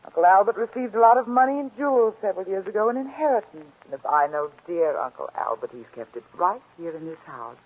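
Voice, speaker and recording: A woman's voice: mid-range voice, expressive, fast talker, hardly any pauses, slightly rough, narrow, tinny audio. A woman playing a brazen, coolly confident young blackmailer in a 1940s radio drama, with an arch, insinuating delivery. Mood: greedy, confident. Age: young adult. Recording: band-limited old radio recording.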